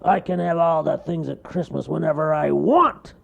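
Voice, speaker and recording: A man voicing a grumpy, bossy male bear in a storybook, loud and scornful, shouting and sneering. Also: sits high, animated, measured pace, gravelly, breathy edge, narrow, tinny audio, voice pushed up, heavily acted. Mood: angry boastful.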